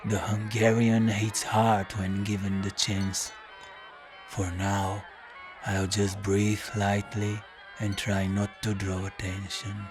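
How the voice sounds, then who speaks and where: Man playing a slow, weary hard-drinking detective. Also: on the low side, over music. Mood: weary, wry. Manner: deadpan, slow, world-weary. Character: weary, battered, hard-drinking male detective, wry and evasive. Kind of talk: first-person noir audio drama narration.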